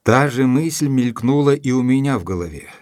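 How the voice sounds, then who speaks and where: An actor playing a composed gentleman narrating his own adventure in a measured way for an audio drama. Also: medium pitch, animated, slight background noise, natural voice. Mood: thoughtful. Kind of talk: scripted first-person audio drama narration.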